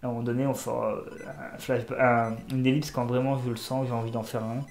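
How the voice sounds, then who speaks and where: A man's voice: medium pitch, level tone, brisk, darkish tone, echoey room, slight background noise. Stuffy-nosed man joking through a game. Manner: joking, talks to himself and the game characters. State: has a cold. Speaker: gaming YouTuber. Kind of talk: casual let's-play commentary.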